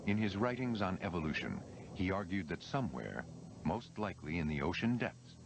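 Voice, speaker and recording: A man's voice: deep voice, animated, moderate pace, background noise. A man delivering scripted documentary narration as the narrator. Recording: loud music behind. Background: loud music.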